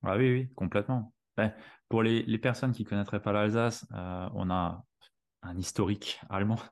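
A man's voice: fairly low pitch, steady tone, moderate pace. A man from Alsace hosting a podcast interview, talking hesitantly with many fillers. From Alsace, France. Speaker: podcast host. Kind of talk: conversational interview.

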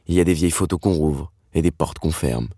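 A man's voice: very deep voice, fairly quick, warm tone, dry recording, studio quality. An actor playing a dreamy screenwriter in his thirties, who tells his father's story reflectively in an intimate podcast drama. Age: 30s.

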